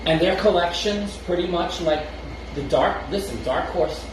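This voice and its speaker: A man's voice: sits high, normal speed, far from the mic. Echoey Brooklyn man talking off-mic. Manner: rambling, restarts often, chuckles between thoughts. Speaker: podcast co-host. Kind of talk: casual chat. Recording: very echoey room, off-mic, noisy.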